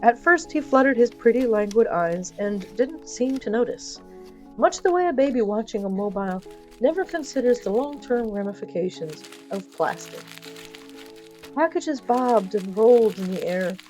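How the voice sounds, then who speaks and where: A woman's voice: fairly high pitch, expressive, normal speed, a little mellow, faint music behind. Wry woman narrating a fiction podcast. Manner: wry, playful asides to the listener. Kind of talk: theatrical scripted storytelling.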